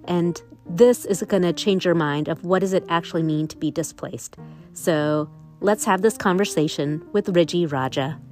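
A woman's voice: fairly low pitch, darkish tone. A woman hosting a podcast, reading an enthusiastic intro and interviewing her guest. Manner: upbeat, enthusiastic. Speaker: podcast host. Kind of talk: scripted intro and interview chat.

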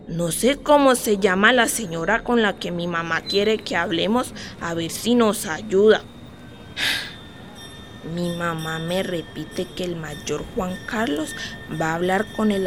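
An actress playing a playful, wistful eight-year-old girl in a radio drama monologue, speaking in a simple, childlike way. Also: medium pitch. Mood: wistful, earnest, then reluctant, worried. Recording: music behind the voice.

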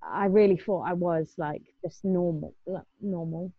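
Young female, English accent, slightly low pitch, informal personal recollection, narrow telephone-like audio. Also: steady tone, a little slow, dry recording. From England. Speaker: participant sharing personal experiences in a group discussion. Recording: thin phone-like band-limited sound.

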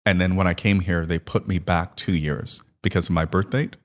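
A man's voice: low-pitched, steady tone, normal speed, long reverb tail. A man who grew up in Guyana and Trinidad, easygoing and chatty as an interview guest, often checking in with "right?". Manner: easygoing storyteller, lots of "right?" and "you know". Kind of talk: casual interview, life story.

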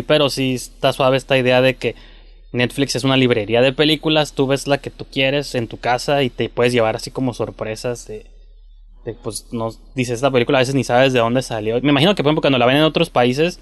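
A man's voice: mid-range voice, dry recording. Male interviewer, rambling casual chat, dry room. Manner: chatty, rambling, slangy.

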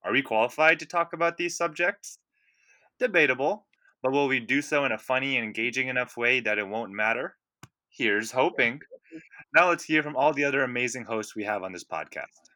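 A young man from India hosts a casual podcast, loose and rambling, with plenty of ums and likes. His voice has a strikingly bright, forward ring. The sound is very dry and close to the mic, with no room in it. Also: medium pitch, brisk, dry recording. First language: Punjabi. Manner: relaxed, rambling with many fillers. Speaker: podcast host.

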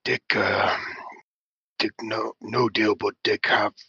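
A man puts on a haunted brute in a tabletop game: slow, flat and halting in broken phrases, with a gravelly, very husky edge and bright tone. The lo-fi audio sounds dull. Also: fairly low pitch, dry recording, full character voice. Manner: halting, broken speech about himself.

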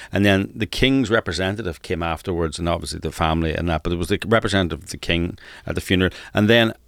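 A man's voice: sits low, no echo, studio quality. A man co-hosting a podcast, telling stories casually and explaining as he goes, repeating words for emphasis. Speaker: podcast co-host. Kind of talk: casual conversational storytelling.

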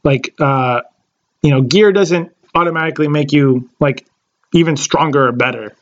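A man's voice: on the high side, moderate pace, highs cut off. A man in his late twenties, a podcast co-host chatting casually and rambling with many fillers and restarts. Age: late 20s. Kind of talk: casual chat.

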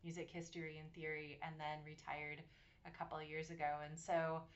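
A woman in her forties from Massachusetts, co-hosting a podcast and telling her story with earnest, warm detail and frequent ums. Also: low voice, little pitch movement, brisk, dull audio. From Massachusetts, USA. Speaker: podcast co-host, university program director. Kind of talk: casual podcast chat.

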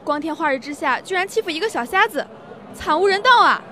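A woman's voice: very high-pitched, animated, brisk, fairly bright tone. A woman playing a feisty young blind girl in an audio drama, theatrically indignant and playing the victim. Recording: street crowd noise behind.

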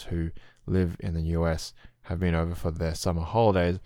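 A middle-aged Australian man with a very low voice talks through a congested head cold. He rambles and explains things casually, with the odd filler, as a solo podcast host. The sound is dry and close. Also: darkish tone, dry recording.

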